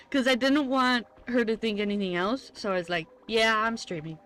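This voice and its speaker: A woman's voice: fairly high pitch, a little slow, fairly bright tone. A woman giving casual, chatty livestream commentary while playing a story game, informal and often interrupting herself. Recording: game music behind the voice. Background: game music and character voices.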